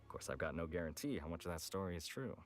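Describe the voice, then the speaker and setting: An actor playing a frank, world-weary weapons merchant in a video game, with a casual, blunt manner. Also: male voice, low-pitched, very animated, fast talker. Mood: grave storytelling. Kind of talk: scripted game voice acting. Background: background music.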